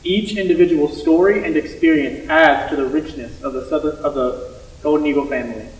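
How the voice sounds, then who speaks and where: A man recites scripted lines as part of a stage reading. His pitch is a little high, and the sound is roomy and distant. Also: fairly quick. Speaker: student performer in readers theater. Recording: echoey room, distant microphone.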